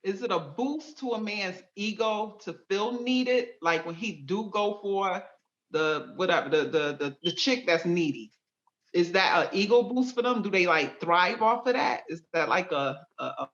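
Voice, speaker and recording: Co-host: woman, very lively pitch, bright tone, dull recording. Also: measured pace. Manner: animated, playful. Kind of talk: casual podcast chat.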